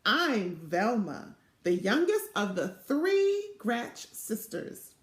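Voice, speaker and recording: A woman's voice: fairly high pitch, huge pitch swings, takes it slowly, roomy sound, heavily acted. Woman reading as an eager, proud little storybook girl. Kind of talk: children's storybook character reading.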